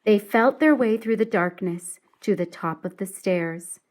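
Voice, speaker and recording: A woman reading a children's story aloud in an expressive, deliberate and dramatic storytelling style. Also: medium pitch.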